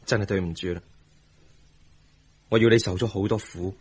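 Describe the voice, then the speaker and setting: An actor with a Hong Kong Cantonese accent playing a tender, remorseful young husband in a radio drama, earnest and emphatic. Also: male voice, on the low side, steady tone, moderate pace, a little mellow, slightly rough. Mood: hurt, remorseful. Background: music.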